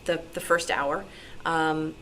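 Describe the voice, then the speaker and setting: A woman with a fairly low voice talks informally at a meeting. She explains in a halting, conversational manner. There is faint room noise behind her. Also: fairly even intonation, a bit bright. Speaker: radio show programmer answering listener questions. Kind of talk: informal talk at a club meeting.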